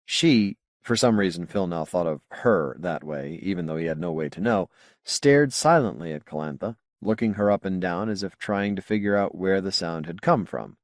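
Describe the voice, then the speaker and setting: A man reading a science fiction novel as an audiobook narrator, with measured, clearly phrased delivery. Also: low-pitched, animated, no echo, clean studio sound.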